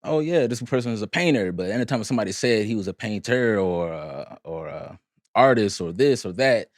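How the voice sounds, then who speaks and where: Arabic-native man, podcast guest, low voice, relaxed rambling delivery, dry close-miked sound. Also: a little fast, no room sound. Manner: laid-back, rambling with many fillers. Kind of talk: casual interview chat.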